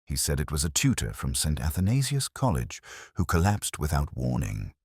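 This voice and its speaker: A man reading a scripted first-person mystery story in a measured, literary and brooding manner. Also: very deep voice, wildly swooping pitch, slightly rough, no room sound, studio quality. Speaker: narrator.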